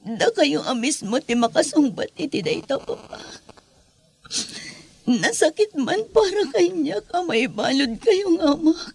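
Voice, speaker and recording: A very high-voiced daughter acted by a woman in a melodramatic audio drama. She is anguished and reproachful, pleading emotionally with her father. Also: sing-song, a little slow, creaky, exaggerated voice. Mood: tearful, reproachful.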